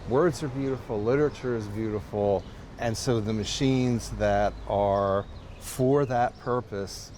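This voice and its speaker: A middle-aged man with a low voice talks thoughtfully about the things he loves, earnest and a little halting. Faint noise sits behind him. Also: a little mellow. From United States. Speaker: typewriter collector. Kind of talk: interview answers.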